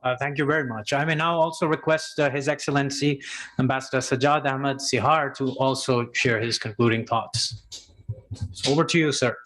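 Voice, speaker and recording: A man moderating a formal policy webinar, polite and measured, with frequent pauses and fillers. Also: mid-range voice, fairly even intonation, a bit bright, rough recording.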